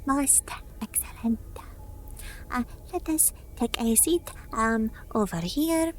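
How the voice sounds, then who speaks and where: A woman performing a gentle ASMR roleplay as a friendly alien medic, often whispering, halting and deliberate, referring to herself in the third person. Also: fairly high pitch, expressive, a little hiss or hum.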